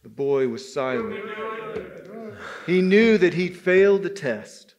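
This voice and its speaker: Higher-voiced man telling a story aloud. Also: expressive, darkish tone, dull audio. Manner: deliberate, pausing, addresses listeners as brothers. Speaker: storyteller leading a men's spirituality gathering. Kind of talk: dramatic oral storytelling to a live audience.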